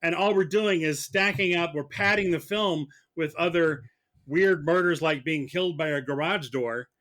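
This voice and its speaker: Podcast mock prosecutor: male, slightly high-pitched, argumentative, stumbles and doubles back on his words. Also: fairly bright tone. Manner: argumentative, halting with repeated words. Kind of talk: comic debate on a film podcast.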